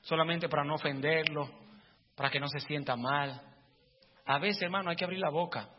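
A male pastor giving a fervent sermon in a church, at times rising to shouts. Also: a little high, normal speed, a little hiss or hum. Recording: long church reverb, dull lo-fi, faint music.

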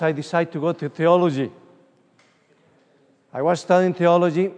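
A middle-aged evangelist from Uruguay speaks English with a Spanish accent, his voice sitting a little high for a man. He tells stories in an animated way and often pauses on "uh". The recording sounds distant, with long reverb from a large hall. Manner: animated, storytelling, frequent fillers. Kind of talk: conference sermon with personal stories. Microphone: room microphone from a distance.

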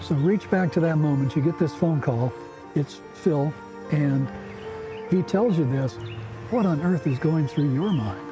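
A man's voice: a little high, measured pace, over music. A man co-hosting an interview, prompting the guest with curious questions. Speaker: co-host.

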